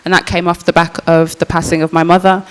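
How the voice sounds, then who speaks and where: A young woman from with a very quiet voice, speaking reflectively on a panel in a large public hall. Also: on the low side, level tone, quick pace. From Birmingham, England. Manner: reflective, searching, with fillers and restarts. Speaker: panel speaker, curator and archivist. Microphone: panel microphone. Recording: reverberant hall.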